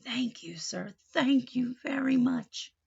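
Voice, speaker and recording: A woman voicing a meek old gentleman from a storybook, polite and hesitant. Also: high voice, expressive, slow, creaky, breathy edge, dull audio, exaggerated voice.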